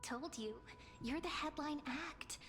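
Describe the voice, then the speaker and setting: A woman playing a calm, thoughtful female companion and guide in a video game, speaking in a measured, encouraging way. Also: high voice, very noisy. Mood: encouraging, fond. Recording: long hall reverb over game music.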